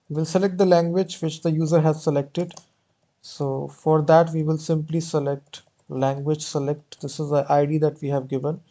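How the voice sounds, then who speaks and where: A man's voice: on the high side, dark tone. A man walking through code step by step in a programming tutorial, often saying "actually" and "basically". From India. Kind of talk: screen-recorded coding walkthrough.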